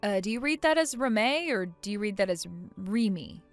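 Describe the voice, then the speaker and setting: A woman playing a polite, wary young bride in a scripted story drama, with a measured, questioning manner. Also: medium pitch, a bit bright, faint music behind, close to an everyday voice. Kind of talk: scripted melodrama story dub.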